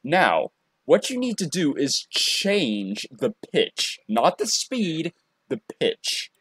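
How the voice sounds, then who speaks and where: A man presenting a casual software tutorial, talking in a halting way and often repeating his phrases. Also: a little high, huge pitch swings, breathy edge, no echo. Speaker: tutorial presenter and hobby voice actor.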